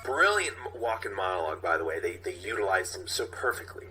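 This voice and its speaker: A man's voice: low voice, big pitch swings, brisk, pushed and bright, slight background noise. A man co-hosting a podcast in a casual, chatty style, putting in short reactions and comments. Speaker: podcast co-host. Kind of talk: casual chat.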